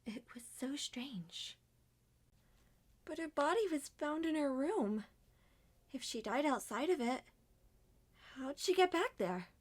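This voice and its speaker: A woman voicing many characters in an ASMR roleplay, speaking softly and often dropping to a whisper. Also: high voice, expressive, slow, pauses often. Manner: soft-spoken, drifts between whispers and normal voice.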